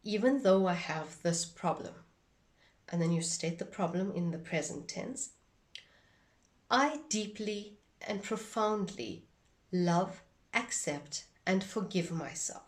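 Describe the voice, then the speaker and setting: A woman giving an instructional demonstration in a measured, deliberate way, phrase by phrase. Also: lowish voice, animated, recorded from a distance. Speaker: instructor demonstrating a tapping technique.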